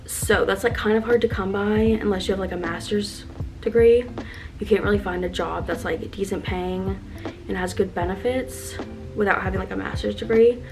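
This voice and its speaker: A woman's voice: mid-range voice, fairly even intonation, normal speed. A woman in her early twenties chatting casually while getting ready, upbeat and earnest, with lots of "like" fillers. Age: 20s. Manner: chatty, filler-heavy with constant "like". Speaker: lifestyle vlogger. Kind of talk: casual get-ready-with-me chat. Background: background music.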